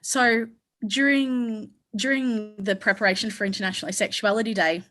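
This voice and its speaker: A woman's voice: medium pitch, fairly bright tone. An Australian woman speaking on a conference panel, rambling, with frequent restarts and fillers.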